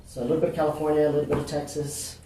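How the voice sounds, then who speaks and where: Man from Mexico, mellow and loose in his answers, heard from a distance in an echoey room. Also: on the high side, racing, slight background noise. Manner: relaxed, rambling with many fillers and restarts. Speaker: realtor. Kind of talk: casual interview answers. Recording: roomy, echoey, mic at a distance.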